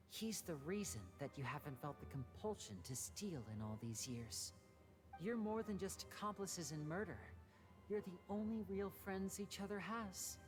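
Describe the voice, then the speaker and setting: A woman voicing a composed young male stage magician in a video game, with a measured, theatrical, quietly cutting delivery. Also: fairly low pitch, natural voice. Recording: music clearly behind the voice.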